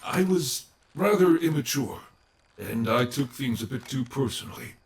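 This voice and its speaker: Animated, grandiose male animatronic showman bear, acted by a man. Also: mid-range voice, moderate pace, creaky, far from the mic. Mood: apologetic. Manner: grandiose, formal, wordy. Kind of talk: audio roleplay acting.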